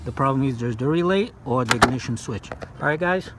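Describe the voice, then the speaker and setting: A man's voice: medium pitch, lively intonation, brisk, very noisy. A man from Brazil with a Brazilian Portuguese accent, casually explaining a car repair while working and filming on a phone in one hand, with loose, repetitive stop-start talk. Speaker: DIY car repair youtuber. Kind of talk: casual how-to demonstration. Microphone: phone held in one hand.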